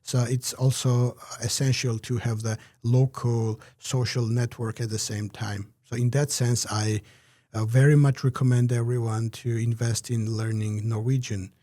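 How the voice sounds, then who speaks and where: A man giving measured, reflective interview answers, pausing often with "uh" between phrases. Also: medium pitch, level tone, mellow, no room sound, studio quality. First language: Georgian. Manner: measured, many "uh" pauses. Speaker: interview guest, researcher.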